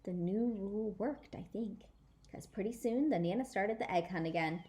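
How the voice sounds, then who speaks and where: A woman storyteller reading a children's book aloud in an animated, emphatic way. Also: medium pitch, normal speed. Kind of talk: expressive children's book read-aloud in a child narrator's words.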